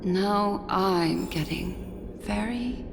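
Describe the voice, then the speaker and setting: A slow, mysterious seer. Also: female voice, medium pitch, vocal fry. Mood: intrigued. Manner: slow, measured, intrigued musing. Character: mysterious, curious adult woman seer. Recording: game cutscene with reverb and music.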